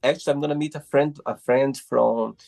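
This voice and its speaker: A man's voice: mid-range voice, fairly even intonation, fairly quick, vocal fry, darkish tone, faint music behind. A Brazilian man in his thirties, a native Portuguese speaker with a Brazilian accent, hosting a casual interview with effusive, repeated praise for his guest. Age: 30s. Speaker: host.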